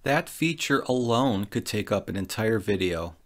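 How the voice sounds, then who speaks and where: A man's voice: lowish voice, animated, moderate pace. A man presenting a product review as scripted, step-by-step narration. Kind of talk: scripted narration of a gear demonstration.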